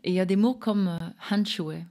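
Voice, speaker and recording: A woman's voice: mid-range voice, a little fast, warm tone. A middle-aged woman from Australia, a native English speaker with an Australian-English accent, chatting as an interview guest with wry, understated humour. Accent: Australian-English accent in French. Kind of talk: casual conversation.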